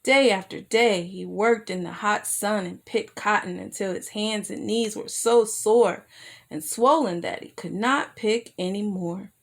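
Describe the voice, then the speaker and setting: Slow-paced woman reading to children. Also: medium pitch, creaky. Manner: addresses young listeners with simple questions. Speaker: children's storyteller. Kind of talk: read-aloud children's picture book narration with asides.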